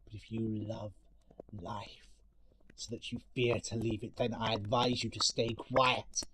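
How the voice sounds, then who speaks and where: A man playing an ugly, spiteful monster in an audio story, delivering a bitter, theatrical monologue of revenge with deliberate menace that swings between hiss and shout. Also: fairly low pitch, little pitch movement, natural voice. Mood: cold threat. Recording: noisy amateur home recording with clicks.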